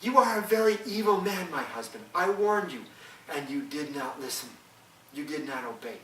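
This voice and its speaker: A man voicing an insistent young island wife in a folktale, raising his voice high and sharp. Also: expressive, normal speed, slight background noise. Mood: grieving reproach. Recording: echoey room, from far off.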